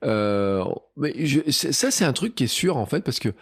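A man hosting a casual podcast conversation, chatty and animated in his storytelling. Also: mid-range voice, normal speed, slightly rough. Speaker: podcast host.

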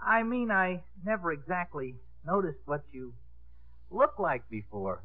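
Awkward teen boy, halting, very swoopy delivery, phone-like 1950s radio recording. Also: sits high, big pitch swings, a little hiss or hum. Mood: bashful. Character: awkward, bashful, slow-talking teenage boy. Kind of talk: 1950s radio comedy-drama acting. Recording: muffled narrow-band old radio recording.

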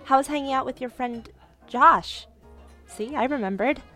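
A flustered, gushing young woman in an audio drama date scene. Her voice is fairly high, and she piles up repeated words for emphasis, with faint music underneath. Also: moderate pace, a bit bright. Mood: awkward, conciliatory.

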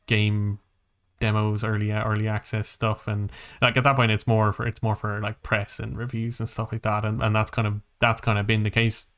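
A man's voice: lowish voice, flat delivery, moderate pace, a bit bright. Man rambling casually on a podcast. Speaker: podcast co-host.

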